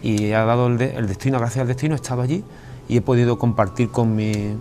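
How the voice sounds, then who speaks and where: Halting Andalusian man, faint room hum. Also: mid-range voice, steady tone, mellow. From Andalusia, Spain. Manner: halting, frequent restarts and repeated words. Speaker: guest writer. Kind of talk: casual interview conversation. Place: television studio.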